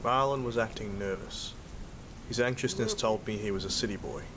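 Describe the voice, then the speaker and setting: A man acting as a gruff, world-weary cane toad hunter in an audio drama, with an Australian accent and a blunt, jaded manner. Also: on the low side, normal speed, noisy background, lightly acted. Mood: weary, brooding. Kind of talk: first-person narration and dialogue in an audio drama.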